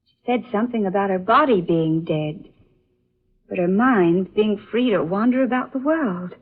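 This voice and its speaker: A woman playing a frightened young film actress haunted by dreams, breathless and halting in 1940s radio melodrama style. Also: medium pitch, breathy edge, close to an everyday voice. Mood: shaken, horrified. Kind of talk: 1940s radio drama acting. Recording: muffled vintage radio recording.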